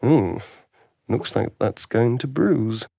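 A man's voice: medium pitch, animated, slow pace, creaky, warm tone, no echo, studio quality, heavily acted. A man playing a vain, sardonic, centuries-old vampire rogue in a video game, with an arch, theatrical delivery. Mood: wry, amused. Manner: arch, flamboyant, drawling, mocking. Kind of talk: video game character acting.

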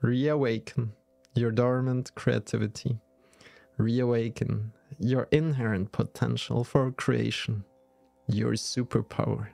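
A man leading a guided yoga nidra meditation in a soothing, measured way with long pauses. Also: mid-range voice, steady tone, creaky, darkish tone, no room sound, soft background music.

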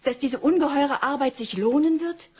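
An actress playing the neglected, plaintive wife of a busy engineer in a German radio drama, emotional and reproachful. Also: female voice, high voice, moderate pace, a little mellow, close to an everyday voice. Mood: doubting, concerned.

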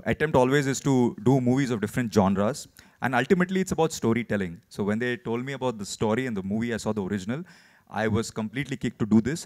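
A young man from South India, an actor answering questions at a film launch, articulate with some hesitant fillers, speaking into a handheld mic. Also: mid-range voice. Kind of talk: press conference answers. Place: indoor launch event stage. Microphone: handheld microphone.

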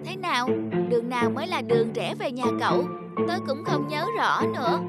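An earnest little talking object in a children's cartoon. The voice is very high and childlike, with an expressive delivery. Music plays clearly behind it. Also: female voice, fairly quick. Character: childlike talking cup and flashlight, eager, easily frightened young friends. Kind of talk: dubbed children's cartoon dialogue.

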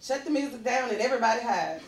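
A woman's voice: a little high, expressive, fast. A woman acting at a table read, reading film dialogue aloud in a sassy style. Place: meeting room with a conference table. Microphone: distant camera microphone. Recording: roomy, distant camera mic.

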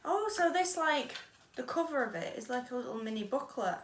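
A woman's voice: sits high, animated, fairly quick. A young woman with a British accent hosting a chatty unboxing vlog in a playful, informal way. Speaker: vlog host. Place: small home bedroom, indoors. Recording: roomy, distant mic, audible noise.

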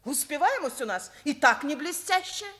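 A shrill, indignant older schoolteacher. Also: female voice, big pitch swings, moderate pace, fairly bright tone. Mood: stern, reproachful. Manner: emphatic, lecturing, didactic. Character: rigid, indignant older schoolteacher woman, principled and dogmatic. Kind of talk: Soviet radio theatre acting.

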